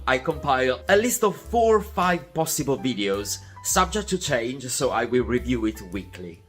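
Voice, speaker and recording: Man, young adult, animated, friendly and methodical, scripted vlog voiceover. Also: mid-range voice. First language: Italian. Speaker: vlog host.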